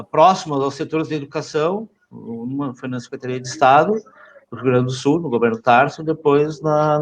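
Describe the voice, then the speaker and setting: A man born in Brazil who grew up in Portugal, introducing himself as a guest on a live video chat. He talks haltingly, restarting phrases and filling pauses. A faint noise hums behind him. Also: medium pitch, a little mellow, dull audio. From Brazil, raised in Portugal. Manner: hesitant, many restarts and fillers.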